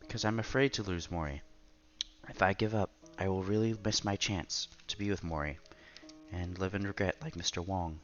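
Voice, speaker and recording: A man's voice: sits low, steady tone, moderate pace, highs cut off. A man streaming a visual novel, reading its narration and dialogue aloud expressively. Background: game music.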